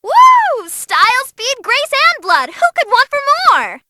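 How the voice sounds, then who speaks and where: A woman's voice: very high voice, huge pitch swings, slow pace, heavy background noise, full character voice. A woman playing a perky, bloodthirsty, non-human fight announcer in a game-style performance, theatrical and playful. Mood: gleeful, excited. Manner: gleeful, dramatic, playful. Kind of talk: excited ringside fight commentary.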